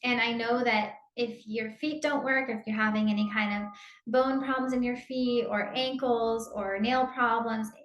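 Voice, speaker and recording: Effusive woman in an echoey room. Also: fairly high pitch. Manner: enthusiastic, effusive, rambling questions. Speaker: interviewer. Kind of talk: interview host questions.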